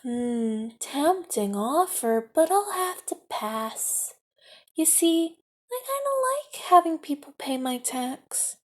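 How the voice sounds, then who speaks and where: A woman's voice: high voice, very animated, slow pace, exaggerated voice. A woman voicing a menacing, knife-wielding street extortionist in an ASMR audio roleplay, taunting and mock-sweet with hissed whispers. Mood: scornful.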